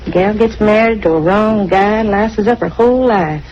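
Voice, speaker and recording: A dull, hissy old radio recording carries an actress playing a gossipy waitress. She talks in a chatty, slangy way at an everyday female pitch, worldly and loyal, in classic crime-drama style. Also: normal speed, a little mellow, lightly acted. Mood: disapproving, frank. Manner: chatty, colloquial.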